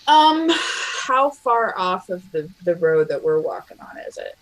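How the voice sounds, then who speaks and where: A woman's voice: fairly low pitch, sing-song, unhurried, rough recording. A woman playing a tabletop role-playing game, chatting casually as she describes what her character does.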